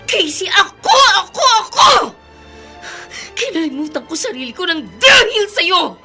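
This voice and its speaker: An actress playing an accusing, wounded elder sister in a Filipino radio drama, bitter and anguished. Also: female voice, very high-pitched, very animated, a little slow, bright, forceful tone, soft background music, exaggerated voice. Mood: furious, anguished. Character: furious, wounded elder sister who sacrificed for her sibling.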